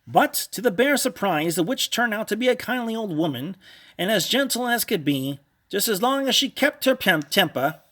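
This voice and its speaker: Male read-along narrator, high-pitched, bright, animated and theatrical storytelling for kids. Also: normal speed. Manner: dramatic, expressive.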